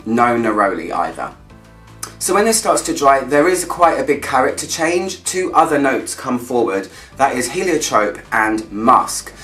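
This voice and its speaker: A man's voice: medium pitch, a bit bright. A man giving a casual vlog-style review, chatty and descriptive, thinking aloud as he goes. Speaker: fragrance reviewer. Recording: echoey room, distant mic, faint music.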